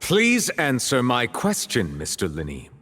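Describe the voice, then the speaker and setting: Grave male judge, game courtroom: formal, commanding, animated pitch, long reverb. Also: full character voice. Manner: formal, authoritative, measured. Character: stern, formal male judge presiding over a grand court. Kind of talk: video game voice acting. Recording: long echoing hall, crowd behind.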